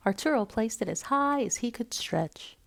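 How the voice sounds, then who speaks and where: A woman storyteller narrating a children's picture book aloud in an expressive style. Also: on the high side, moderate pace, creaky, noisy background.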